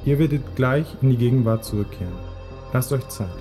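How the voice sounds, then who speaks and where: Mellow male audio play narrator. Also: mid-range voice, fairly quick. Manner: direct address with questions and instructions. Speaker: narrator addressing the listeners. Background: music.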